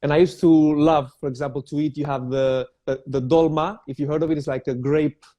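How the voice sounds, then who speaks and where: A man's voice: fairly high pitch, fairly even intonation, brisk, mellow. A young man who grew up in Cyprus with Greek as his first language, a personal trainer speaking as an interview guest, hesitant with many fillers. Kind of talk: casual interview.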